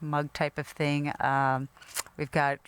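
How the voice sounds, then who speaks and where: A woman's voice: deep voice, flat delivery, takes it slowly, a little hiss or hum. A woman whose first language is Norwegian, a festival club president chatting casually in an interview, with plenty of fillers and easy laughs.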